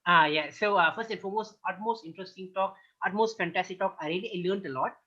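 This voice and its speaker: A young man from Malaysia joins a video call to ask questions, polite and eager. His voice is a bit husky, and the call audio sounds muffled and dull. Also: high-pitched, fast talker, pushed and bright. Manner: polite, eager, repeats affirmations. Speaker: audience member asking questions.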